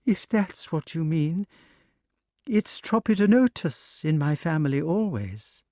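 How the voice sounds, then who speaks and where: A man voicing a timid, fussy male grass snake who talks in a fluttery, excited way. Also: high-pitched, takes it slowly, dry recording, clean studio sound, pitched above the performer's own voice. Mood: prim.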